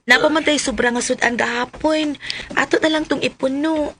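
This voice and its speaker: A woman's voice: high-pitched, fairly even intonation, moderate pace, a bit bright, a little hiss or hum. A woman with a Cebuano accent playing an eager young woman in a radio drama. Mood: earnest. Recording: music behind voice. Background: music.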